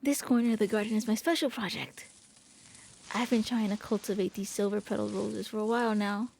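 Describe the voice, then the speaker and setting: A woman voicing a gentle, shy vampire prince in an intimate ASMR roleplay, speaking softly and a little hesitantly. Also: mid-range voice, fairly even intonation, fairly quick, creaky, a little hiss or hum, natural voice. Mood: gentle enthusiasm. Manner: gentle, hesitant, intimate, stammering when flustered. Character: gentle, shy, eager young-looking immortal vampire prince. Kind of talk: soft ASMR roleplay monologue.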